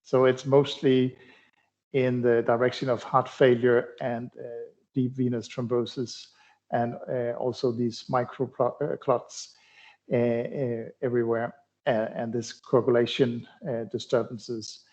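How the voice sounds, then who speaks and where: A middle-aged man from Denmark, a cardiology researcher answering interview questions in a halting way, with many fillers and restarts. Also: medium pitch, flat delivery, a little mellow. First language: Danish. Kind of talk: conversational expert interview. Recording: dull lo-fi sound.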